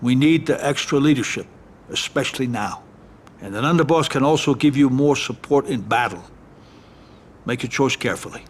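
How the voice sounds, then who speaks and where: An actor playing a composed mob lawyer giving calm, businesslike instructions in a game cutscene. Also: male voice, mid-range voice, normal speed, close to an everyday voice. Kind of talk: video game cutscene acting.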